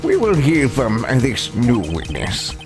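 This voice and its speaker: A man voicing an elderly courtroom judge from a video game, stern yet easily flustered, with halting, ponderous delivery. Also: mid-range voice, huge pitch swings, full character voice. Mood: solemn. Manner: halting, stammering, ponderous pronouncements. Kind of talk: dramatic reading of a video game. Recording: game music behind the voice.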